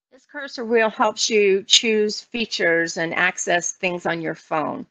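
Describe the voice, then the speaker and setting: A woman's voice: medium pitch, vocal fry, fairly bright tone, dry recording. An American woman working as a trainer, explaining things step by step in an instructional walkthrough. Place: online meeting screen-share, voice only. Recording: dull lo-fi online meeting audio.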